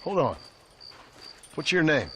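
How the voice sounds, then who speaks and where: An actor playing a dry, laconic American outlaw in a Western video game, speaking in a rough drawl. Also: male voice, a little high, very animated, slow, sharp tone, a little hiss or hum, exaggerated voice. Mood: guarded. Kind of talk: game cutscene acting, Western drama.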